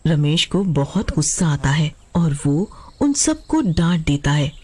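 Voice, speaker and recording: A woman's voice: low voice, very animated, a little slow, a little mellow, husky. A woman narrating a scripted story as a storyteller. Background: music.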